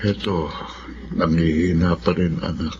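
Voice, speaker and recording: An actor playing a frail, ailing old father in a radio drama, speaking gently, wearily and haltingly. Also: low voice, steady tone. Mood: weak, grateful. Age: older. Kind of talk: Tagalog radio drama acting. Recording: muffled, faintly noisy audio.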